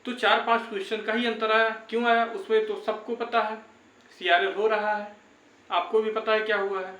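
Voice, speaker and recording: High-pitched young man, echoey room. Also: little pitch movement, normal speed, pushed and bright. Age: young adult. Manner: reassuring, repeats phrases. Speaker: YouTube exam-update presenter. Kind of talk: informal motivational update talk to viewers. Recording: echoey, roomy sound.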